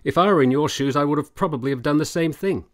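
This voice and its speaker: A man's voice: fairly high pitch, close to an everyday voice. Man playing a grave, earnest period doctor. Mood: earnest, persuading. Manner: formal, deliberate, grave. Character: earnest, persuasive military doctor in 1870s Italy. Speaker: supporting actor. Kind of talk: period radio drama acting.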